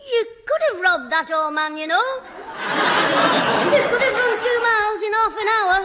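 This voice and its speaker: Cheeky, tactless schoolboy, bright high voice, old radio sitcom style. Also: male voice, normal speed, faint music behind, a faint crowd, exaggerated voice. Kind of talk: 1970 radio sitcom acting. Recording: old reverberant radio broadcast recording.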